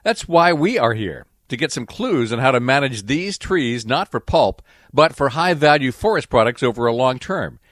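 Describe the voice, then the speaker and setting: A man hosting and narrating a radio nature program in a clear, storytelling delivery. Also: medium pitch, animated, moderate pace, hardly any pauses, a bit bright, no room sound, studio quality. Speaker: radio show host and narrator.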